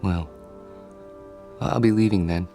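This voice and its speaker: An actor in a radio drama playing a shy, awkward loner, hesitant, stammering and politely apologetic. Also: male voice, sits low, slow, dark tone, soft background music.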